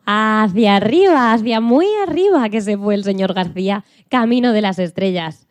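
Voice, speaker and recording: Woman, lively swooping pitch, expressive children's storytelling, dry close sound. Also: dry recording. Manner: expressive, dramatic. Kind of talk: animated children's story narration for radio.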